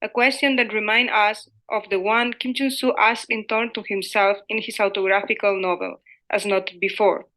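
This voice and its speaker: The bright, clear-cut voice of a woman researcher, a native Spanish speaker, formally reading her paper at a literature conference. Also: medium pitch, fairly even intonation, moderate pace, poor audio. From Spain. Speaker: academic researcher presenting a paper. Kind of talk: scripted conference presentation read aloud.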